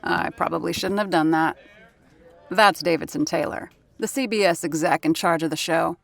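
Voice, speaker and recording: A woman plays a sharp-tongued 1930s radio actor and sound effects artist in an audio drama. Her lowish voice carries a dry, sardonic edge as she narrates in character. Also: normal speed, vocal fry. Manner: wry, sardonic.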